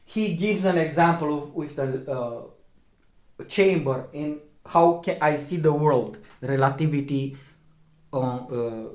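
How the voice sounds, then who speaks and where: A man with a Romanian accent, a native Romanian speaker, giving a lecturing commentary, insistent, often checking "okay?" and pausing on fillers. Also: a little high, darkish tone, roomy sound. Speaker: video commentator.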